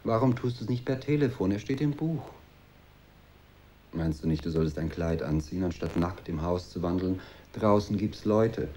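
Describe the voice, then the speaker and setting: A weary, deep-voiced nagging husband. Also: male voice, lively intonation, normal speed, mellow, slight background noise. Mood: disapproving. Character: weary, prim husband, nagging with dry sarcasm. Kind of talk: German radio drama acting.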